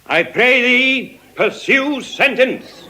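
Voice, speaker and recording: An actor playing a vengeful, gloating moneylender in classical Shakespearean style, savoring each phrase theatrically. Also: male voice, very high-pitched, expressive, slow pace, sharp tone, slight background noise, exaggerated voice. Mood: scornful, impatient. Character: vengeful, gloating male moneylender demanding his bond. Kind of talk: classical Shakespearean stage acting.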